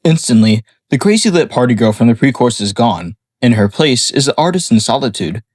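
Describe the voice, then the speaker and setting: A man reading a scripted analysis of a song in a measured, explanatory way. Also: medium pitch, big pitch swings, a little mellow, faint music behind. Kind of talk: scripted narration.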